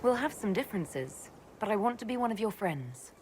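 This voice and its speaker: An actress playing a pragmatic female elf leader in a video game, measured, firm and matter-of-fact. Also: mid-range voice, very animated, a little mellow, slight background noise, lightly acted. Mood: composed. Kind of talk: video game cutscene acting.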